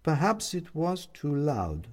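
A man's voice: fairly high pitch, huge pitch swings, slow pace, a little mellow, pitched above the performer's own voice, exaggerated voice. A man voicing a kindly, anxious middle-aged woman neighbour in a storybook, with a concerned, slightly formal manner. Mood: gently amused.

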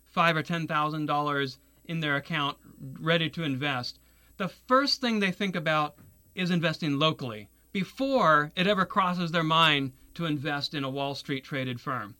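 A man interviewed as a guest on a radio show, explaining his work in an earnest, measured way with small restarts. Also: a little high, sharp tone. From Taiwan. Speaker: guest, securities lawyer.

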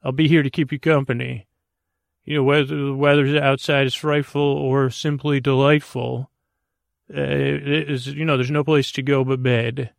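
A man hosting a bedtime podcast in a meandering, halting, goofy monologue meant to lull listeners to sleep, with a gravelly voice. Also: medium pitch, level tone, no echo, studio quality. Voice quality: gravelly, creaky. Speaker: podcast host.

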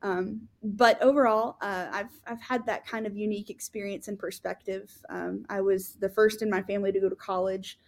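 A woman's voice: mid-range voice, steady tone, normal speed, hardly any pauses. Earnest woman with frequent ums. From Florida. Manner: earnest, many ums and restarts. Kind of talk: casual conversational storytelling.

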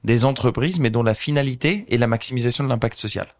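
A French man in his thirties, a social entrepreneur telling an animated anecdote as an interview guest. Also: medium pitch, fast. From France. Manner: animated anecdotal storyteller, addresses host directly. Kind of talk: casual storytelling interview.

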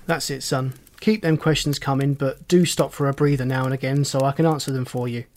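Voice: mid-range voice, fairly even intonation, a little fast, a little mellow, lightly acted. Narrator acting wry, commanding police inspector. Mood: folksy, explaining. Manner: folksy, teasing, pointed questioning.

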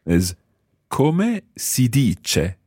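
A man's voice: medium pitch, slightly rough, no echo, studio quality. A man hosting a language lesson, explaining phrases patiently and quizzing his co-hosts. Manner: patient, lively, repeats phrases slowly. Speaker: language teacher and host.

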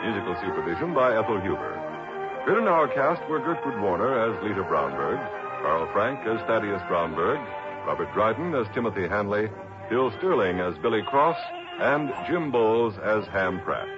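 A man's voice: fairly low pitch, very animated, moderate pace. A male American announcer reading scripted credits in mid-century radio style. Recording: muffled old radio broadcast over loud music.

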